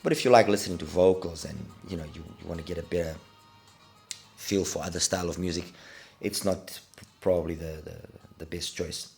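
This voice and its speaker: A man's voice: deep voice, fairly even intonation, a little fast, vocal fry, a little mellow, soft background music. A middle-aged man, congested with a cold, giving a casual, hesitant product review and repeating words for emphasis. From Portugal. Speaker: audio gear reviewer.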